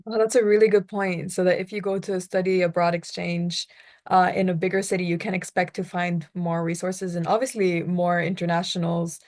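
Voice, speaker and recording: A young woman from the United States, moderating a casual group discussion in a chatty way with quick asides. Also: on the low side, little pitch movement, darkish tone.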